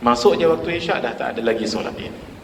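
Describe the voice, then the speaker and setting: A man in his forties with a Malaysian Malay accent, giving a lively sermon in a mosque and putting questions to the audience. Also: medium pitch, fast, a little hiss or hum. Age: 40s. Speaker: preacher giving a mosque lecture. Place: mosque hall. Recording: echoey hall reverb.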